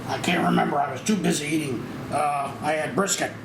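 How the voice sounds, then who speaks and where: A man's voice: fairly high pitch, brisk, natural voice. A man reading the part of an indignant husband and airline passenger in an amateur comedy table read. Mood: flustered. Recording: echoey, noisy room with hum.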